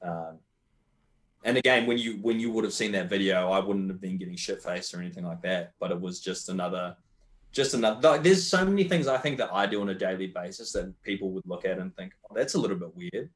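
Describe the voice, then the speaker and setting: A man's voice: low-pitched, brisk. A young man with an Australian accent, talking candidly and at length as a podcast guest, swearing freely and rambling through his points. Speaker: guest powerlifter. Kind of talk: casual podcast chat.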